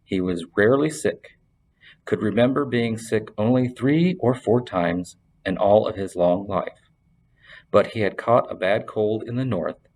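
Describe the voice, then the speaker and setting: A man's voice: fairly low pitch, a little mellow, rough recording. A man presenting and reading a fantasy story aloud as scripted narration. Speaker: library reader and presenter.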